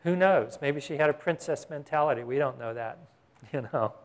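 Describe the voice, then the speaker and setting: A man delivering a Bible-teaching sermon in a relaxed, conversational manner. His voice sits a little higher than most men's. He keeps checking in with his listeners through short questions. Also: moderate pace. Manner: conversational preaching, asks the congregation "Got it?". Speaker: pastor.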